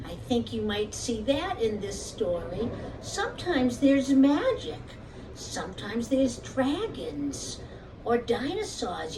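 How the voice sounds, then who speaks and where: High-voiced woman telling stories to children, slowly. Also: darkish tone. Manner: simple, deliberate phrasing with pauses. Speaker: teacher telling a story with puppets. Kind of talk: storytelling for young children. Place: room at home. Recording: roomy, distant mic, faint noise.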